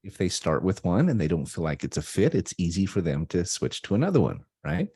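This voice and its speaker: A man's voice: low-pitched, very animated, a little fast, creaky, dark tone, husky, dry recording, clean studio sound. A man hosting a talk radio interview over a video call, upbeat and affirming, often checking in with "right?". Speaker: radio show host.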